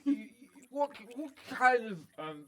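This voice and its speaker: Tipsy high-pitched young lord. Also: male voice, very animated, slow pace, exaggerated voice. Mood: clumsy wooing. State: tipsy, stumbling over words. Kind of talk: video game cutscene acting.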